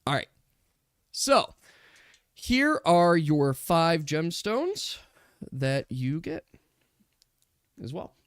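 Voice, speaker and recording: A man working as game master, narrating a tabletop game casually and thinking aloud in a halting way. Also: a little high, sing-song, no echo, studio quality.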